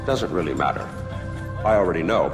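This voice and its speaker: An actor playing a smug, flamboyant male tyrant in a video game, sardonic and mock-courteous with deliberate pauses. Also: sits low, animated. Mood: coolly amused. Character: smug flamboyant male tyrant, eccentric, polite yet menacing. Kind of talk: video game cutscene acting. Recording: dramatic music behind the voice.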